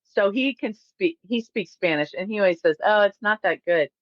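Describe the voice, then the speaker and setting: A woman's voice: medium pitch, normal speed, no room sound, muffled recording. Dry-sounding woman podcast host. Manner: affirms often with short yeahs, asks questions. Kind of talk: casual chat.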